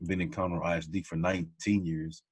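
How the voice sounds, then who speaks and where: A man's voice: low voice, level tone, fairly quick, husky. A man in his forties, a teacher and podcast guest, talking in casual conversation, humble and friendly, calling people "man" often. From Texas.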